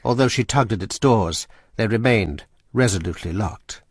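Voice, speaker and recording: A man narrating a radio drama in a measured, suspenseful way. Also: fairly low pitch, slightly rough.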